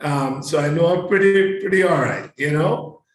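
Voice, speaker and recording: Male interview guest, high-pitched, enthusiastic and conversational, repeats words for emphasis, very echoey sound. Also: normal speed. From Trinidad and Tobago.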